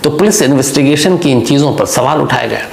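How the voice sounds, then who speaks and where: A man with a gravelly voice telling a true-crime story as a monologue, deliberately, posing rhetorical questions to the listener. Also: mid-range voice, lively intonation. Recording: echoey room with faint background noise.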